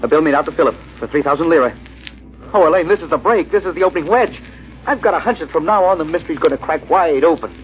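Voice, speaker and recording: An actor playing an earnest, haunted amateur sleuth who also narrates an old-time radio thriller, dramatic and slightly halting. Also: male voice, a little high, lively intonation, lightly acted. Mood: excited. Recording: muffled old radio with heavy hiss.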